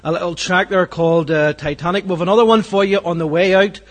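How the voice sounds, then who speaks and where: A man's voice: sits high, fairly even intonation, a little fast. A man welcoming people to church and leading a prayer, earnest and hesitant with fillers. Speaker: church host. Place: church hall.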